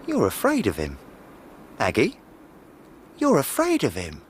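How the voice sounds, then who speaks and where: A man's voice: medium pitch, wildly swooping pitch, a little slow. A nosy working-class English lad in a radio drama. His intonation leaps about dramatically, blunt and protective in an informal way. There is faint ambient noise behind him. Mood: curious, protective.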